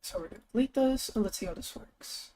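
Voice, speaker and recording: A young woman streamer chatting casually as she works, thinking aloud, her voice lower than usual today, with bursts of high excitement. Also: fast. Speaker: streamer and artist. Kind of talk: casual live-stream chat while working.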